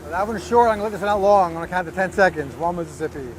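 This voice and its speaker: Man, fishing co-host, high voice, laid-back explainer, faint boat noise. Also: very fast. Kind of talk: casual explaining while rigging gear. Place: outdoors on a fishing boat offshore.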